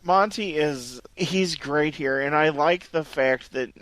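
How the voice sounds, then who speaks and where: A man's voice: a little high, slow pace, a bit bright, no echo. A man in a casual film review chat, making his points emphatically and with conviction. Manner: emphatic, sure of his reading. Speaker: podcast co-host.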